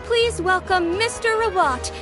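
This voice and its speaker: A polished female store announcer from a game, makes a formal promotional pitch. Her voice is high, and music plays behind her. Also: unhurried. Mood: upbeat, formal. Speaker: product launch announcer.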